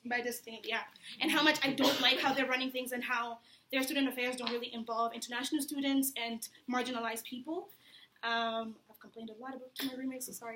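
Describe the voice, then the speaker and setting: A woman's voice: on the high side, pushed and bright. A young woman from Tanzania, a student candidate, gives an informal, candid and conversational campaign speech to an audience. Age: young adult. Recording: echoey room with faint background noise.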